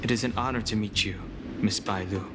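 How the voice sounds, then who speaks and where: An actor playing a reserved, serious young warrior in a fantasy game, terse and formal. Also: male voice, lowish voice, steady tone, a little fast, background noise, lightly acted. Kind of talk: scripted video game voice acting. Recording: music loud under the voice.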